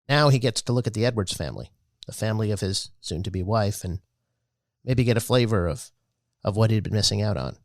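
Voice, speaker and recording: A man hosting a podcast, giving scripted public-radio-style narration in a measured, earnest way. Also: on the low side, dry recording, clean studio sound. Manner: measured, earnest storytelling delivery. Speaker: podcast host.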